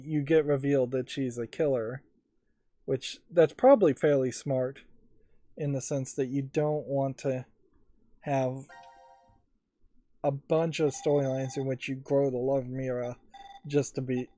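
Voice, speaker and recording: Mellow-voiced man commenting on a game. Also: medium pitch. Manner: analytical, thinking aloud with pauses. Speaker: let's play host. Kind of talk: casual gameplay commentary. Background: faint game music.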